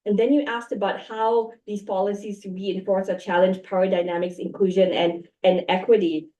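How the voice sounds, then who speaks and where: A woman's voice: mid-range voice, little pitch movement, normal speed, warm tone, muffled recording. A woman in her thirties speaking as a panelist in an academic discussion, thoughtful and full of fillers and restarts. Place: university event room. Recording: echoey room, far from mic.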